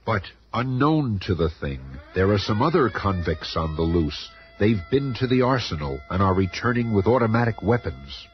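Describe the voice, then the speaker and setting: A man's voice: on the low side, very animated, moderate pace, soft background music. A man narrating an adventure radio serial in a grave, melodramatic announcer style. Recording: noisy, muffled old radio recording.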